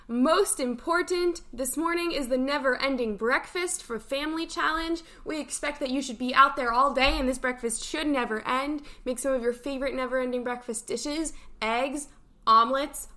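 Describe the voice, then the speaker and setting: A woman's voice: high-pitched, sharp tone. A woman hosting a morning show, giving casual announcements with playful, upbeat banter. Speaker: camp morning show host.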